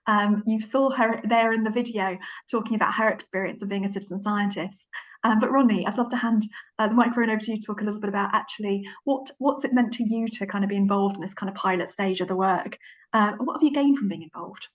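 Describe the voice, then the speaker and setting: A woman's voice: mid-range voice, quick pace, dull audio. A woman hosting a webinar, introducing speakers with friendly fillers. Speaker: webinar host. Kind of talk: moderating a webinar.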